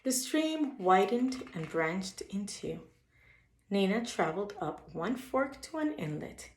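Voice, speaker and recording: In a roomy, echoing recording, a woman reads a picture book aloud at bedtime. Her voice sits at a medium pitch, and she tells the story expressively for young children. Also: sing-song, slow pace, a little hiss or hum. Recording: echoey, roomy sound.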